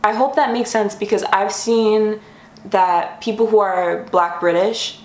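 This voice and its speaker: A woman's voice: mid-range voice, steady tone, a little slow, echoey room. A young woman hosting a casual explainer vlog from her room, friendly and chatty, sometimes stopping to correct herself. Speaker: YouTube host. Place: her room, sitting on the floor.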